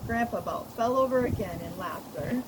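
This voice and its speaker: A woman, a children's author, reading a storybook aloud in an expressive, amused way. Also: on the high side, a little fast. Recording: noisy recording with loud background noise.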